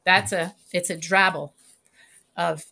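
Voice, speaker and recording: A woman writer speaks as an interview guest in a somewhat low voice. She talks haltingly, restarting phrases as she hunts for the right word, and laughs easily between thoughts. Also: steady tone, vocal fry, pushed and bright. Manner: halting and searching for words, laughs often. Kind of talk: casual interview chat.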